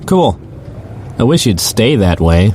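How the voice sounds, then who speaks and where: Low-voiced, animated man acting as rideshare driver, noisy backdrop. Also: takes it slowly. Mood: wry, griping. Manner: casual, sardonic, conversational. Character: chatty wry male rideshare driver telling his strange night. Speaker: narrator and lead character. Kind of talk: first-person audio drama storytelling.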